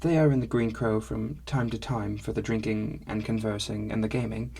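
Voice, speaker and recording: A woman's voice: rumbling low, steady tone, moderate pace, creaky, warm tone, slightly rough, heavily acted. A man doing a chirpy, stilted female dwarf. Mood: explaining. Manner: stilted phrasing with much "is being". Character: female dwarf stablehand, cheerful, loud, odd formal speech.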